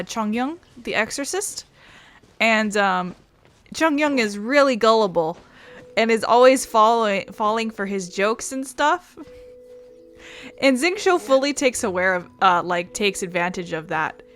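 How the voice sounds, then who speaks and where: A woman's voice: medium pitch, a bit bright. Casual woman commentating on a game. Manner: informal, hesitant asides. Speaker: gaming video host.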